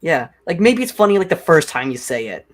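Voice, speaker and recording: A man's voice: very animated, a little fast, crowd noise. Rambling young man hosting a livestream. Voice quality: self-described deep voice. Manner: rambling, heavy use of "like". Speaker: livestream host. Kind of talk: casual livestream chat.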